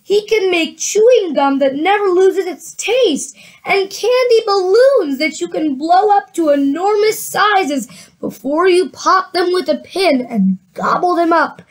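A woman reads a children's storybook aloud in a high voice, giving the characters voices of their own. She often restarts lines and drops into relaxed asides to her listeners. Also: unhurried. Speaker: reader of a children's book.

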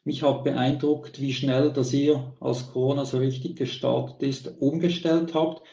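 A man's voice: medium pitch, little pitch movement, unhurried, vocal fry, dark tone, reverberant, highs cut off. A man moderating an online conference, introducing the next speaker in German.

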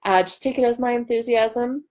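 A woman in her thirties hosting a casual live stream from home, loud, excitable and bantering as she answers comments. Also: fairly high pitch, moderate pace. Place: room at home by a large window.

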